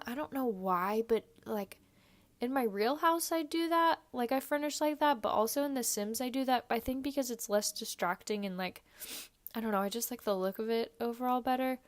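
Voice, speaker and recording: A young woman with a hoarse voice, sick and under the weather, chatting casually through a game voiceover, rambling with lots of fillers. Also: a little high, dry recording. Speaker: video creator.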